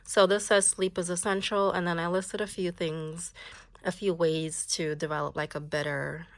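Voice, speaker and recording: A young woman with a slightly stuffy, nasal voice from a cold, casually walking through her planner setup in a chatty, relaxed way, with fillers like "like" and "um". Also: on the low side, moderate pace, no room sound. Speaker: planner vlogger. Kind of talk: casual show-and-tell planner walkthrough.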